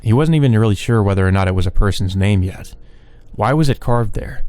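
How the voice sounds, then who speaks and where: A man's voice: fairly low pitch, animated, brisk, mellow, dry recording. A man reading a visual novel aloud as the host of a let's play. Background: background music.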